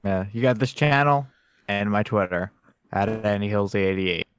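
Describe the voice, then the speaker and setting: A man's voice: fairly low pitch, normal speed, clean tone. A man co-hosting a podcast, laid-back in casual chat with brief replies. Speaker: podcast co-host.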